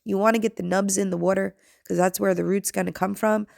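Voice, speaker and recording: A woman in her thirties with a raspy, nasal voice, chatting through her home cleaning vlog in a rambling, self-deprecating way. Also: mid-range voice, fairly even intonation, fairly quick, darkish tone, dry recording, studio quality. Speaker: home vlogger and mom. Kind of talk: chatty voice-over narration.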